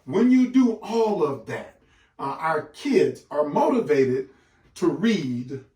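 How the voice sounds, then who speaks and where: A man giving an instructional address to parents as a literacy educator, speaking emphatically and deliberately with dramatic pauses. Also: fairly high pitch, sing-song, dark tone. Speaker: literacy educator hosting a read-aloud session for parents. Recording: echoey room, distant microphone.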